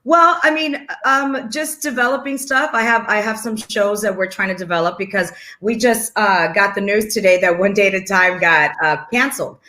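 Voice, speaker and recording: A woman from Chicago chatting as a guest, lively and quick to laugh. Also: medium pitch. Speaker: guest actress. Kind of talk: casual chat.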